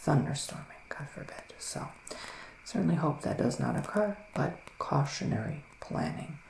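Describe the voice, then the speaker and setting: A man playing a gentle psychic witch in an ASMR roleplay, speaking in a soft, musing whisper with long pauses. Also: on the high side, expressive, gravelly, roomy sound, low-quality recording. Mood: hushed, musing. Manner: slow, hushed, musing, with drawn-out pauses. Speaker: ASMR performer giving a psychic reading.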